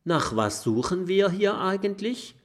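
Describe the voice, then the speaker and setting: Ein Mann spricht einen kleinen, neugierigen Drachen. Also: male voice, sits high, sing-song, unhurried, voice pushed up. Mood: curious. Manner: inquisitive. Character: curious little male dragon.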